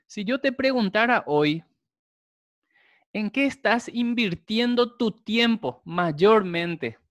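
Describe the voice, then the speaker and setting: A young adult man, a Guarani speaker, preaching a sermon, with slow, emphatic repetition. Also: sits high, lively intonation, no echo. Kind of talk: sermon with prayer.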